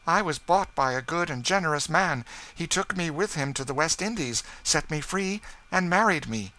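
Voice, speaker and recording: A man's voice: fairly high pitch, animated, moderate pace, sharp tone, pitched above the performer's own voice, poor audio. A man voicing a proud, emotional woman in an audiobook, speaking with eager, earnest urgency. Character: proud emotional refined woman, eager for news of lost brother. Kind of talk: audiobook character dialogue.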